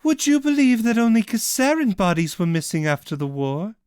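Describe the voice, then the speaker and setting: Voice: medium pitch, very animated, moderate pace, darkish tone, clean tone, no room sound, studio quality, voice pushed up, close to an everyday voice. A storyteller voicing a cloaked woman villain who teases in a patient, cold way. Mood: teasing.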